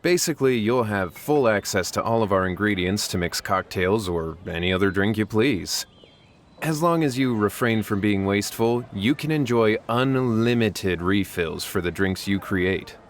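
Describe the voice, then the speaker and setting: An actor playing a polite, welcoming event organizer with a courteous, formal manner in a video game. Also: male voice, on the low side, lively intonation, moderate pace, slight background noise, natural voice.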